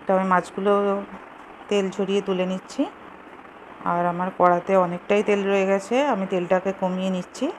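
Female home cook for a recipe video, medium-pitched, simple instructional delivery, casual, recorded with light kitchen noise. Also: little pitch movement, brisk, rough recording. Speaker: home cooking show host. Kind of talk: casual step-by-step recipe narration.

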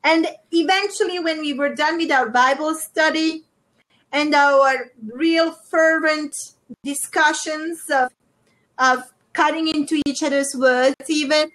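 A middle-aged woman talks earnestly into earbuds, laying out her faith like a short sermon. Her voice sits high and she takes her time with each phrase. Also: steady tone, a bit bright, poor audio. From Hungary. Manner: earnest, deliberate preaching. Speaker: host. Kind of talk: religious video chat.

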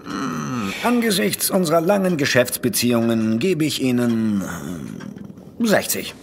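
An actor playing a courteous, hesitant gentleman collector in an audio drama, wry and given to hemming. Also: mid-range voice, wildly swooping pitch, creaky, slight background noise, exaggerated voice. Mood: cautious haggling. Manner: deliberate, hemming, polite and wry. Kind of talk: German horror audio drama acting.

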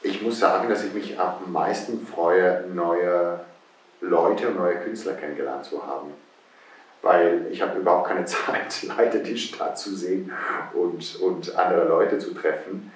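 A middle-aged conductor with an Italian accent talks in a deep, low voice, pausing often and searching for words. He sits in a wood-panelled room, picked up by a camera some way off, so the sound is full of room echo. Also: fairly even intonation. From Italy. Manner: thoughtful, hesitant, many fillers. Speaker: guest conductor. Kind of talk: interview answers. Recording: roomy, distant echo.

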